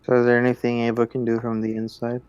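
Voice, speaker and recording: Dude playing tabletop rpg, pretty monotone, casual talk, dry sound no echo. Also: male voice, mid-range voice, fast talker, smooth, muffled recording. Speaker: tabletop game player. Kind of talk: casual chat during a tabletop game.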